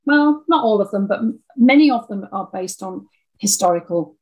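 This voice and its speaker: An older woman, over sixty, talking reflectively in an interview, thoughtful and halting as she repeats words. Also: medium pitch, lively intonation, a little mellow, slightly rough. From Scotland. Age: 60s or older. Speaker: interview guest, audio dramatist.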